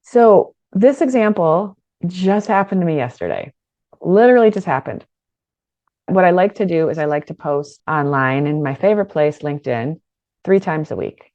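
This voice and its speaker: A middle-aged woman with a low voice teaches an online course for business owners. She talks in a conversational, energetic way and often stumbles and restarts her sentences. The sound is dry and slightly dull. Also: no echo. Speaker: business coach.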